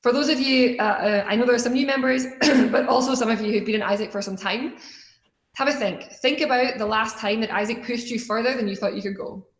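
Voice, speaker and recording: A woman's voice: fairly high pitch, a little fast. Young woman speaking in an echoey room. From Scotland. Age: young adult. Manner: earnest, conversational storytelling. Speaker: student organization leader giving a keynote talk. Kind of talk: motivational personal-story speech.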